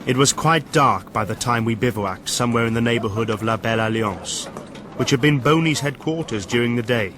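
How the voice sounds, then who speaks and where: Radio play: actor as seasoned British officer, stoic narrator, medium pitch, outdoor noise behind him. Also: male voice, a little fast, natural voice. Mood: sober, reflective. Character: war-seasoned British army officer recalling battle, stoic, tender husband.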